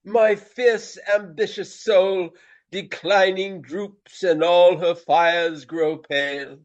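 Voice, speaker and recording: A pompous Regency gentleman who fancies himself a great tragedian. He declaims very slowly in a high, melodramatic voice, as if in a radio play. The sound is slightly dull. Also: steady tone. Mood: melodramatic dying. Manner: grandiloquent, drawn-out, melodramatic delivery. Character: pompous Regency gentleman, a hammy amateur tragic actor.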